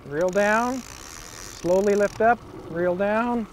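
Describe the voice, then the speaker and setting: A man working as a fishing guide, calmly and patiently talking someone through landing a fish, recorded outdoors on an open river boat. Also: sits high, extremely slow. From Oregon, United States. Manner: calm, patient instructions. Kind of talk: coaching on a boat. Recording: outdoor noise from boat and water.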